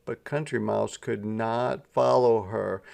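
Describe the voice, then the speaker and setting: Slow, creaky-voiced man reading a storybook. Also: lowish voice, fairly even intonation, darkish tone. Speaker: storyteller reading a children's book aloud. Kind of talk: expressive scripted storybook narration.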